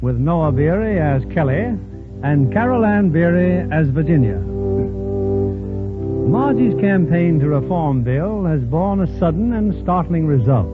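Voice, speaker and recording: Old time radio host guy, theatrical and formal, highish voice, music under it. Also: lively intonation, a little slow. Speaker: radio drama host and narrator. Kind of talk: formal 1940s radio narration. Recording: very muffled 1940s radio recording.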